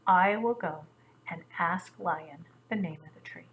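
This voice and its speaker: A woman voicing a small, slow, wise female tortoise, calm and quiet, in an animal fable. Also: lowish voice, slight background noise, close to an everyday voice, rough recording.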